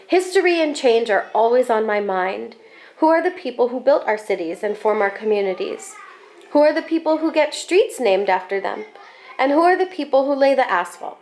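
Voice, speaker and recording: A woman with a fairly high voice reads a picture book aloud for children. Her phrasing is expressive and deliberate, and she stretches out words to bring the story to life. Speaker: school staff member reading a picture book aloud.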